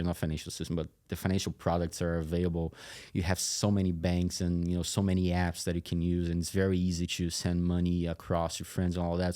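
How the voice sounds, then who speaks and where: Male podcast guest, Brazilian-accented native Portuguese speaker. Flat, soft-edged delivery, conversational, with frequent searching pauses. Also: low-pitched. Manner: hesitant, pausing often mid-phrase. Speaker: podcast guest, company executive. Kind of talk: casual interview talk.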